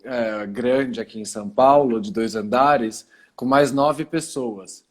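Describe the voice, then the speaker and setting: A man from Italy, speaking as a guest on a video call through his phone, calmly explaining things and often pausing to collect his thoughts. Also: medium pitch. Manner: calm, explanatory, pauses often to gather thoughts. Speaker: guest, cultural center founder. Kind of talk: casual video call interview.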